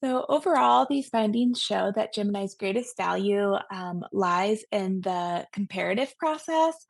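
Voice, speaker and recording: A woman giving a recorded class project presentation in a measured way, with frequent ums. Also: medium pitch, animated, vocal fry, dry recording, clean studio sound. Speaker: graduate student presenter.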